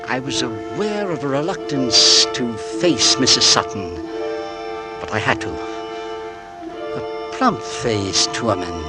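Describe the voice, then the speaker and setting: A troubled, suspicious married gentleman from a 1940s radio thriller. His speech is formal and deliberate, swinging expressively through a middle register. Dramatic music sits behind him on an old radio recording. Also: medium pitch. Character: anxious, suspicious married gentleman narrating his own torment. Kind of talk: 1940s radio suspense acting and first-person narration.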